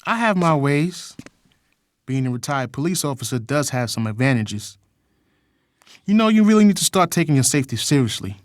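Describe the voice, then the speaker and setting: A man's voice: mid-range voice, expressive, moderate pace, no room sound, lightly acted. A man playing a cool, protective retired policeman with a knowing manner in an audio drama. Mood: cool, cautioning.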